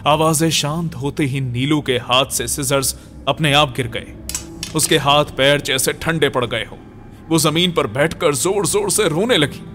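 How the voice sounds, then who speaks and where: A man's voice: on the high side, unhurried, a bit bright. A man narrating a scripted horror story dramatically. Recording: music bed behind.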